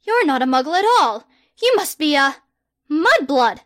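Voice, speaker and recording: A woman's voice: high-pitched, sing-song, unhurried, no echo, clean studio sound, pitched above the performer's own voice. A woman voicing a pompous, self-proclaimed boy wizard hero who makes grandiose, bossy proclamations.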